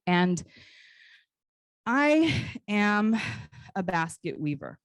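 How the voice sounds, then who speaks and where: An American woman in her forties giving an informal public talk in a conversational, personal storytelling way, with frequent pauses. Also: mid-range voice, sing-song, no room sound, clean studio sound. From Northern California, United States. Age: 40s. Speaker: guest speaker, basket weaver and cultural educator. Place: live talk at a conference.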